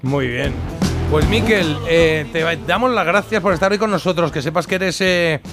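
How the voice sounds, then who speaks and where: A man's voice: fairly high pitch, moderate pace. A man hosting a radio music show, chatty and friendly, reading listener messages. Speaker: radio host. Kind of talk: radio interview.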